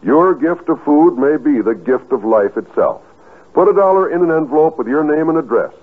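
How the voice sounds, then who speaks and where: Animated, husky 1950s radio announcer man. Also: fairly high pitch. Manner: earnest, deliberate enunciation. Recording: muffled, thin 1950s radio recording.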